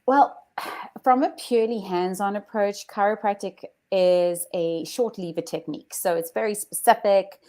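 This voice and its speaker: A woman's voice: medium pitch, a little slow, dark tone. A woman with a South African accent, a chiropractor guest explaining her work in a matter-of-fact way. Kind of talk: interview conversation.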